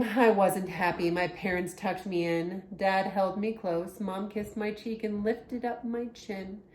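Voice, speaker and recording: A woman hosting a storytime, reading a picture book aloud to children in an expressive storytelling style. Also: medium pitch. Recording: echoey room, faint background noise.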